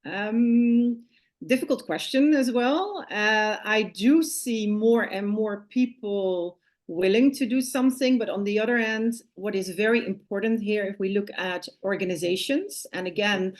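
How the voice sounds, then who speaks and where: A woman's voice: mid-range voice, unhurried. Dutch-accented woman, hesitant guest. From Netherlands. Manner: hesitant, many fillers. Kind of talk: online interview conversation. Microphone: video call.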